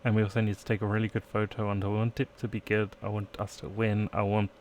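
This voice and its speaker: A man's voice: on the low side, monotone, fast talker, a little mellow, a little hiss or hum. A man live-streaming a let's play, reading game text aloud and chatting in playful asides. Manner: playful asides, trying out accents.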